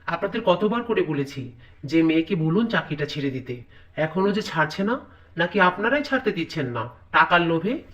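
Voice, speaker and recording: Cartoon casting: man as greedy, rude young husband, high-pitched, snapping out curt demands. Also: big pitch swings, moderate pace, a bit bright, far from the mic, lo-fi sound. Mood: scornful. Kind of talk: cartoon voice acting.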